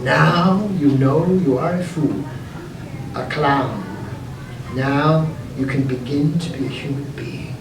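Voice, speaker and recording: A grave, pompous clown master, acted out by a man telling a story. He speaks slowly and deliberately, with intense, gentle firmness. The sound is echoey and distant from the mic. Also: medium pitch, noisy background. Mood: triumphant, solemn. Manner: slow, deliberate, solemn, gentle but firm.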